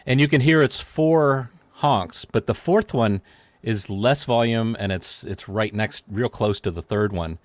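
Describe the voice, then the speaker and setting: Guy with a lowish voice rambling thru a story, lots of restarts, dry close mic. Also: unhurried, no echo. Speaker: guest. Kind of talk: casual chat.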